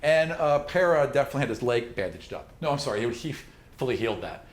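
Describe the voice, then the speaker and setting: A man's voice: medium pitch, big pitch swings, fast. A man narrating a tabletop game as game master in a conversational, emphatic way. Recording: echoey room with background noise.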